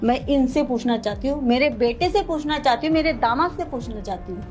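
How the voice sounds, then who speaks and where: A woman's voice: high-pitched, fairly quick, darkish tone, close to an everyday voice. A woman playing a wronged mother-in-law in a melodramatic family drama, respectfully and persistently pleading for an explanation. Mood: imploring. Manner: imploring, respectful, persistent questioning. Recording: dramatic music underneath.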